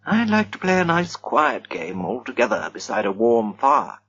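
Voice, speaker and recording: A man voicing a nervous, genteel young woman at a party, talking softly and anxiously. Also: medium pitch, huge pitch swings, normal speed, slightly rough, dull audio, exaggerated voice. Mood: uneasy.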